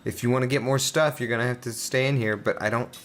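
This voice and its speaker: A man's voice: medium pitch, steady tone, fast talker, a bit bright. A man co-hosting a let's play with casual, playful, goofy commentary. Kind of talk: casual joking game commentary.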